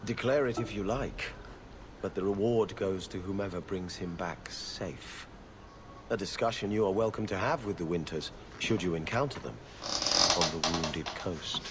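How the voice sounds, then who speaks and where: A man's voice: low voice, normal speed, noisy background, natural voice. An actor playing a weary, haughty male court steward in a video game, speaking in a formal, clipped and disdainful way. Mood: grave, disdainful. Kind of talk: scripted video game dialogue.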